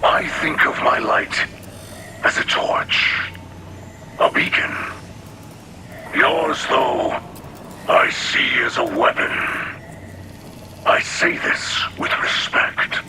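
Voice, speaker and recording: A man playing a solemn alien leader in a game cutscene, with a breathy rasp and halting, formal phrases. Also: a little high, huge pitch swings, pushed and bright, slight background noise, full character voice. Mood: solemn, resolute. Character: solemn alien insectoid splicer leader, male. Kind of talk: video game cutscene acting. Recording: music behind the voice. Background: music.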